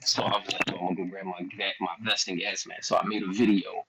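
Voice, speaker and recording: Young Philly guy, a bit low-voiced, casual storyteller who acts out his own quotes. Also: flat delivery, fast, crowd noise, low-quality recording. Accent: Philadelphia. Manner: loose, slangy, quotes himself a lot. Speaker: guest artist.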